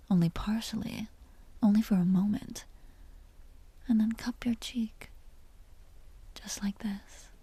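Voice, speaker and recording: A woman playing a seductive, possessive vampire duchess in an intimate audio roleplay, teasing and genteel in a breathy whisper. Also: medium pitch, dark tone, no echo, full character voice. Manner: slow, teasing, genteel and commanding.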